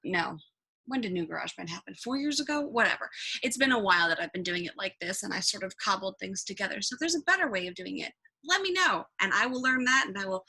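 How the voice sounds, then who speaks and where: Woman, mid-pitched, bright crisp tone, casual tutorial presenter, rambling, offhand asides. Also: poor audio. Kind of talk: casual screen-recording software tutorial.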